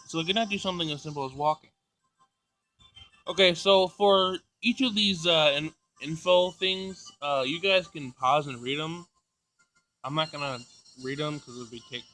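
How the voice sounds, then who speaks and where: A man's voice: on the high side, a bit bright, poor audio. A man hosting a gaming playthrough, reading a visual novel aloud and adding casual comments. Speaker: gaming channel host.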